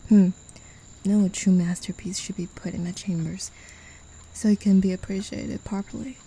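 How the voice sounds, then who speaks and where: A woman's voice: mid-range voice, level tone, normal speed, dark tone, husky, low-quality recording. A woman voicing a possessive female demon in an ASMR roleplay, talking down to her human pet in a teasing, condescending way, often whispering. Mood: domineering. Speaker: voice actor.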